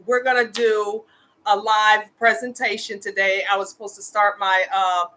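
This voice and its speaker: A woman's voice: mid-range voice, little pitch movement, normal speed, pushed and bright, low-quality recording. A woman in her fifties hosting a casual live show, speaking with earnest, impassioned conviction as an advocate. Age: 50s. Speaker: host, homeless women's advocate. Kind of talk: casual livestream talk.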